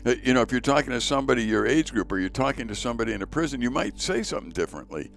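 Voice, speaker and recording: A man hosting a faith podcast, with a very deep voice, talking earnestly and telling stories in casual conversation. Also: big pitch swings, rapid-fire, creaky, a bit bright. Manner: earnest, storytelling, repeats words as he goes. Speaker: podcast host.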